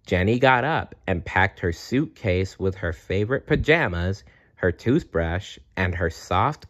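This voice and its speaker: A man's voice: sits low, fairly bright tone. A man reading a children's storybook aloud as narrator, on a dull, lo-fi recording. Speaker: storybook reader.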